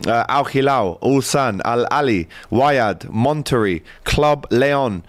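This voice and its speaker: A man's voice: mid-range voice, lively intonation, slow, no echo. Upbeat man reading team lists. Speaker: co-host. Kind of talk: casual football chat.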